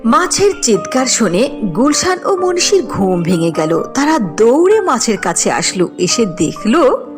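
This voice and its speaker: A woman narrates a cartoon folk tale in a scripted storytelling style. Her voice sits fairly high and moves with very lively intonation, with music playing behind it. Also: measured pace.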